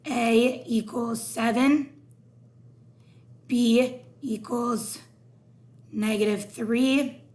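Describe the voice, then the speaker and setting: A hoarse woman teaching a recorded math lesson, explaining each step slowly. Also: a little high, a little mellow.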